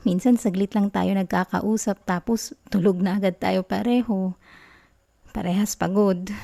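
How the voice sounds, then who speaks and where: Female, Filipino accent, acting as a sweet, teasing girlfriend; soft, dark-toned, intimate ASMR delivery. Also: medium pitch, vocal fry. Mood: tender. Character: affectionate, caring young girlfriend, playful and sweet. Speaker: ASMR roleplay performer. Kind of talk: affectionate girlfriend ASMR roleplay in Taglish.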